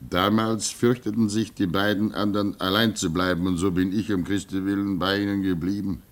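An actor playing a gentle, devout older man in a German radio play, fatherly and reflective, with halting pauses. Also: deep voice, little pitch movement, lightly acted. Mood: earnest, confiding. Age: 50s.

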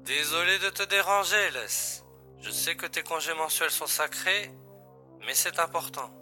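A man's voice: on the high side, a little slow, over music, lightly acted. A man acting a wry agency boss who gives an urgent, matter-of-fact briefing in a science-fiction audio drama.

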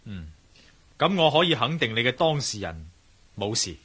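Male lead, Hong Kong Cantonese radio drama: suspicious investigator hero, husky, very expressive, given to sighs. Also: mid-range voice, normal speed. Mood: troubled, serious. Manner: sighing, conversational.

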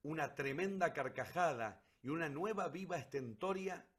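A man's voice: a little high, expressive, moderate pace, husky. A man reading scripted, dramatic narration as the narrator of a radio play.

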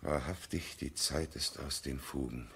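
Radio drama mediator: low register, earnest, opinionated, preachy family friend who admonishes. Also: male voice, unhurried, fairly bright tone, breathy edge, exaggerated voice. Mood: grave, reproachful. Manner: admonishing, declamatory. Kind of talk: German radio play acting.

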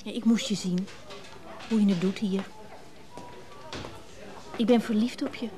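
A woman's voice: medium pitch, fairly even intonation, unhurried, mellow, close to an everyday voice. An actress playing a smitten, forward married woman, coaxing and breezy, in a radio drama. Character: smitten, forward, well-heeled married woman pursuing an older man.

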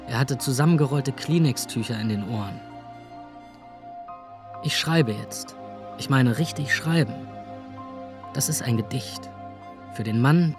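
An actor playing a drifting young would-be crime writer, giving a dry, self-deprecating monologue in a radio drama. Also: male voice, mid-range voice, close to an everyday voice. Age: young adult.

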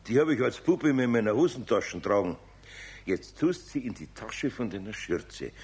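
A man's voice: medium pitch, lively intonation, moderate pace, a little mellow, slightly rough. An actor playing a good-natured older master craftsman in a children's radio play, with a Bavarian accent and a coaxing, patient but exasperated manner. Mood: kindly, encouraging. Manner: colloquial, coaxing, grandfatherly.

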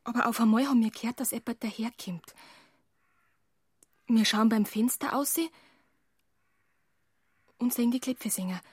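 Scared young bavarian farm girl, high husky voice, timid n halting, close dry radio play. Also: a little mellow, no room sound. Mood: hesitant, confiding. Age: young adult. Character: frightened young village maid, timid and tearful. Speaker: farm maid, witness. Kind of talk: radio crime drama acting in dialect.

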